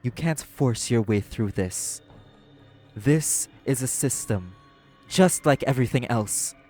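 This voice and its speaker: A man's voice: mid-range voice, lively intonation, slow pace, darkish tone, faint music behind. A man acting in an audio roleplay as a cynical, world-weary socialite who runs a club. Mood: scornful, confrontational. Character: apathetic, cynical socialite club manager and parent.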